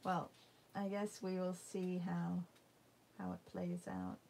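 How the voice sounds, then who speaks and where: A woman giving a casual painting demo, thinking aloud in a halting, musing way and murmuring to herself as she works. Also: on the low side, big pitch swings, vocal fry, a little mellow, a little hiss or hum. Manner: halting, musing, murmurs to self while working. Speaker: art demonstrator. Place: indoors at a painting table.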